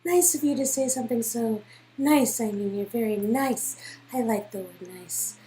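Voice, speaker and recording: A woman's voice: on the high side, normal speed, natural voice. A woman voicing an awkward, earnest young tech specialist in a dramatic reading of a story game. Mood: flustered. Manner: flustered, earnest, excitable.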